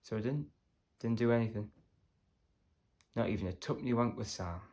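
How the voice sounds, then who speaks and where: A man playing a guarded, wistful working-class man in a quiet storytelling stage monologue. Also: lowish voice, normal speed, close to an everyday voice.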